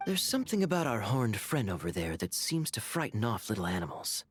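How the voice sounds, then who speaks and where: Smooth teasing knight, expressive sly delivery, game scene. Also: male voice, lowish voice, brisk, soft background music. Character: wry, charming adult male knight. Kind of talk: game voice acting.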